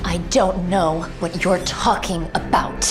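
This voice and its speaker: A woman's voice: on the low side, steady tone, slow pace. An actress playing a lonely but defiant young noblewoman in an audio drama, earnest and emotional. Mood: guarded. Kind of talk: dramatised audio series acting. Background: music.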